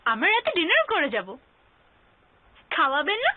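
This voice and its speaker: Cheeky, very high-pitched young woman. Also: huge pitch swings, normal speed, full character voice. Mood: chirpy, pushy. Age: young adult. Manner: chattering, insistent, repeats herself. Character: cheeky, bold young woman, feisty and quick to talk back. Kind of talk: Bengali TV romantic drama acting. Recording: thin phone-like sound, faint music.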